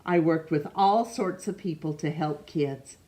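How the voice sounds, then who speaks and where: Lady doing storytime, low voice, takes it real slow, asks the kids questions. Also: dark tone. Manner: stumbles over names and rereads them. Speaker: storytime reader. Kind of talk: reading a picture book aloud to children.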